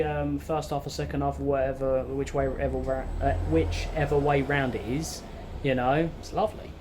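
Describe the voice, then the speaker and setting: A man's voice: mid-range voice, darkish tone. A twenty-year-old man, chatting off the cuff to camera in an informal, matter-of-fact, rambling way. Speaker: bus driver vlogger. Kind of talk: unscripted casual chat to camera. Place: inside an empty parked bus. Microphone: phone or camera at arm's length. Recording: clear ambient noise inside bus.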